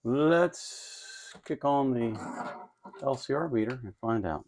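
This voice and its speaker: A man's voice: mid-range voice, animated, takes it slowly, noisy background. A man narrating hands-on work casually and without a script, musing and hesitating as he thinks aloud. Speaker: host of an electronics kit-building channel. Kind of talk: casual, unscripted workbench narration.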